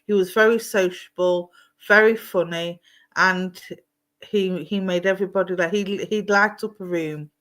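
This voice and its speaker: A woman with a somewhat low voice gives a live online psychic reading. She speaks gently and reassuringly and calls her sitters darling and sweetheart. Her talk wanders, with frequent ums and restarts. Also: fairly even intonation, a little slow. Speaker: psychic medium. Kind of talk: live-streamed intuitive reading. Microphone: streaming setup at home.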